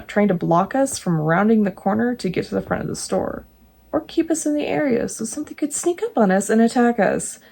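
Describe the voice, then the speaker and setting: A woman reading true ghost stories aloud, breaking in now and then with jokey, animated asides. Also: mid-range voice, gravelly, breathy edge. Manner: animated, jokey asides between read passages.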